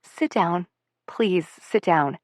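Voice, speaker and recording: Woman reading a grieving mother, soft, pleading, apologetic, dry close sound. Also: on the low side, lively intonation, slow, no room sound. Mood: tearful. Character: grieving middle-aged mother, gentle, apologetic.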